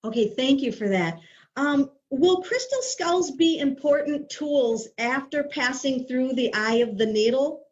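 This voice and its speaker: A woman's voice: sits high, unhurried. A woman asking questions eagerly, hesitating with fillers, heard on a dull lo-fi recording. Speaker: audience questioner. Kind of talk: conversational question.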